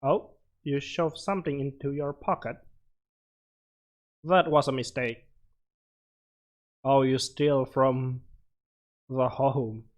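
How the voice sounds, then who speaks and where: A man doing casual game commentary, reading on-screen text aloud and reacting with short, halting interjections. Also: medium pitch, steady tone, dull audio. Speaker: let's player. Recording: music playing behind the voice. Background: game music.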